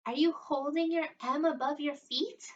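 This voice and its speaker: A woman leading story time and a lesson for young children, encouraging and exaggerated, pausing as if for their answers. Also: sits high, fairly even intonation. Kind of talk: read-aloud and interactive lesson for young children. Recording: dull, distant microphone sound.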